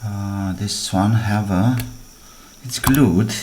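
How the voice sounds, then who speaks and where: A man with a fairly low voice and talks very slowly through a hands-on electronics repair. He speaks in short, halting phrases, thinking aloud as he works. There is faint noise in the background. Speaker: DIY electronics repair presenter. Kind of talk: hands-on repair demonstration, talking while working.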